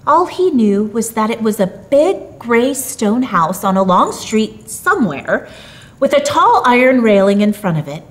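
A middle-aged woman narrates a storybook with theatrical flair. Her voice sits a little high and swoops widely in pitch, leaning on key words with drawn-out emphasis. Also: sing-song. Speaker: storyteller reading a Christmas story aloud. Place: small dark theatre stage, indoors.

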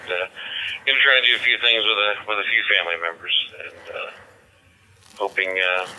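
A man's voice: sits low, sharp tone, slight background noise, poor audio. An American man chatting casually over a digital two-way radio as an amateur operator. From central Kansas, United States.

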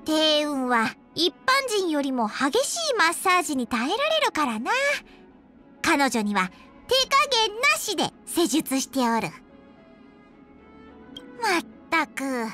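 A woman's voice: high voice, sing-song, measured pace, pushed and bright, faint music behind, full character voice. A woman voicing a small, proud, playful dragon girl healer for a video game, talking in an archaic, self-important old-timer's way with a child's voice. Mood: musing, chatty. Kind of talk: acted video game character voice lines.

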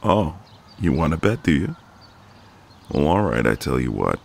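A man playing a flirty, cocky but gentle ex-marine neighbour in an intimate ASMR roleplay, teasing in a folksy way. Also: very deep voice, sing-song, moderate pace, gravelly, full character voice.

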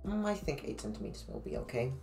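A young woman with a Dutch accent streaming from her bedroom, thinking aloud in halting speech full of fillers as she works on a sewing pattern. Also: rumbling low, big pitch swings, vocal fry, mellow, faint music behind. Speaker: cosplay streamer. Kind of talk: casual livestream chat while pattern making. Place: small bedroom at home.

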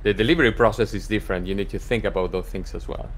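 A middle-aged man from Argentina, a cantor, speaking as a guest over a video call in a measured way with pauses and fillers. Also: fairly low pitch, slight background noise. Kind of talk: casual conversation. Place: home office. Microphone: studio microphone on a video call.

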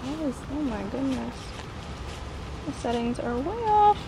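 High-voiced woman vlogging outdoors. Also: animated, measured pace. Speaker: vlogger mother hiking with her young daughter. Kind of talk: casual chat to camera and child. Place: outdoors on a wooded rocky trail. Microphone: handheld camera at arm's length. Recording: noisy outdoor handheld camera audio. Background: outdoor ambient noise.

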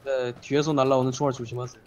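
A man's voice: medium pitch, flat delivery, quick pace, highs cut off, background noise. A man in his twenties making wry quips to his friends during an online game. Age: 20s. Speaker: gaming teammate. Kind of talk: casual gaming chat.

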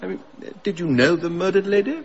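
An actor playing a fussy, bumbling author in a radio drama, hesitant and over-polite, eager to please. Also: male voice, high-pitched, fast. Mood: flustered, probing. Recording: slightly dull recording.

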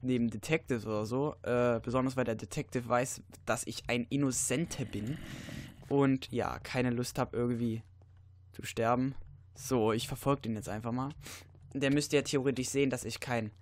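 A teenage boy with a cold, giving rambling casual commentary over a video game with many fillers and sudden excited outbursts. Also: medium pitch. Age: teens. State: stuffy, has a cold. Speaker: let's player.

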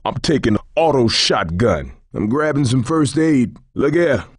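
An actor voicing a big, cocky, good-humored middle-aged survivor coach in a zombie video game, with a folksy Southern American accent and emphatic, salty delivery. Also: male voice, medium pitch, sing-song, measured pace, husky, dry recording, clean studio sound. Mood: gleeful, cocky. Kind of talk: video game character voice lines.

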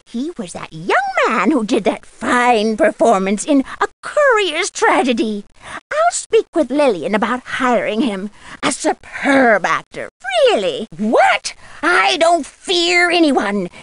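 A woman's voice: high voice, fairly bright tone, no room sound, full character voice. A woman playing an eccentric, feisty, muddled old lady in a video game, with a quirky, theatrical manner. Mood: musing, feisty. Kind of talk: video game character dialogue.

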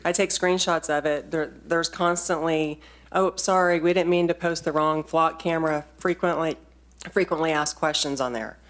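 A woman in her forties speaking at a podium in a council chamber, insistent and quick to interrupt. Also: low-pitched, steady tone. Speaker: resident speaking at a public meeting. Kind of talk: public comment at a town board meeting. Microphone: standing podium mic from a distance.

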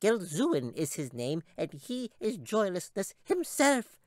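A man voicing a weary, cranky little male talking chipmunk with a squeaky voice in a fantasy audiobook. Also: big pitch swings, slow, dry recording, studio quality, exaggerated voice. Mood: weary, gloomy. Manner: scolding, gloomy warnings. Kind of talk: audiobook character voice.

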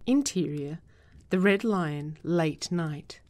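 A woman narrating a drama script, reading stage directions in a measured, matter-of-fact way. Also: on the low side, animated, a little mellow.

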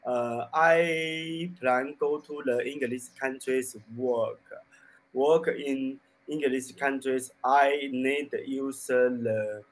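A man from China in his thirties with a Chinese accent, speaking halting beginner English into a desk mic. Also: mid-range voice, steady tone, poor audio. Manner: halting, broken English with many fillers. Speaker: English learner. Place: small room indoors. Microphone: desk mic on boom arm.

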